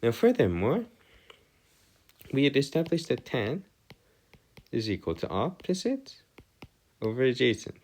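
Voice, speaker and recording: A man giving an improvised lesson as a tutor, speaking in formal, bookish wording with a halting, hesitant delivery and frequent pauses. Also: medium pitch, huge pitch swings, darkish tone. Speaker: math tutor. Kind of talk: improvised lesson explanation on trigonometry.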